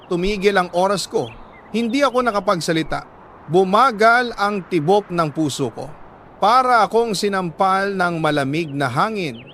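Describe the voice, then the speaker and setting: Higher-pitched Filipino man narrating heartbreak solemnly. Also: animated, fairly bright tone, a little hiss or hum. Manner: solemn, sentimental storytelling with deliberate pauses. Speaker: radio host reading listener letters. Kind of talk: dramatic scripted narration of a confessional love letter.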